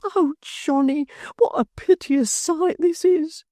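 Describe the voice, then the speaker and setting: Lady reading as a little weepy church clerk guy, whimpery, pitched way up, slow and blubbery. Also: very animated, warm tone, no echo, clean studio sound. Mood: blubbering. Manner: whimpering, pleading, tearful.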